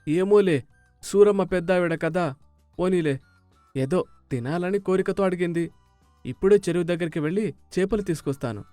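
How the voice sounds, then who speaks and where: A man's voice: high-pitched, moderate pace, pauses often, warm tone, no room sound, faint music behind, lightly acted. A man acting a mild, practical village husband in a dramatised moral story.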